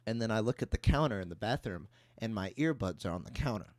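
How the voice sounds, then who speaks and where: Low-pitched young man chatting casually. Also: animated, fast, darkish tone, no room sound. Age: young adult. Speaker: podcast co-host. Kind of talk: casual anecdote and banter.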